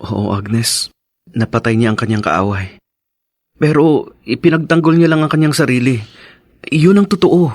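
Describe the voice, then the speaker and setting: An actor playing an earnest, pleading husband in a Filipino radio drama, hesitant and tender. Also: male voice, medium pitch, moderate pace, long pauses, no room sound, studio quality, natural voice. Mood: earnest, explaining. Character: earnest, pleading husband, devoted son of an ex-convict.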